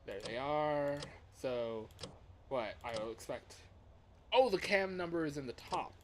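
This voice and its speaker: A man doing casual live gameplay commentary as a streamer, excitable and reactive, often muttering to himself as he plays. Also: a little high, measured pace, a little hiss or hum. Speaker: livestreamer. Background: music.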